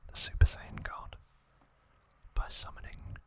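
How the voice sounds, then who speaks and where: A man hosting ASMR, whispering a trivia recap in a breathy, hushed voice with short phrases and pauses. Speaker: ASMR host.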